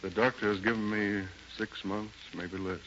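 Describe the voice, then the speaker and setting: A man's voice: lowish voice, steady tone, a bit bright, lightly acted. An actor playing an ailing, despairing older man pleading melodramatically in a 1930s radio drama. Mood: wistful, desperate. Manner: melodramatic, halting, imploring. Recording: muffled, noisy 1930s radio recording.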